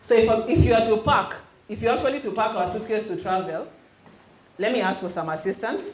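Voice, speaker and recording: A woman's voice: on the high side, fairly quick. A middle-aged woman from Western Kenya with a Kenyan accent, a youth minister leading an interactive teaching and quizzing her audience. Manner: engaging, quizzes the audience, mixes in Swahili. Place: church hall. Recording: echoey hall with faint noise.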